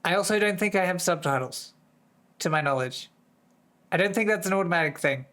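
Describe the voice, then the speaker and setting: A streamer with a voice that is going hoarse, chatting casually to viewers in a distracted, rambling way. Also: mid-range voice, expressive, fairly quick, a bit bright. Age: adult, working full-time. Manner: easily distracted, rambling with many restarts. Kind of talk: casual live-stream chat.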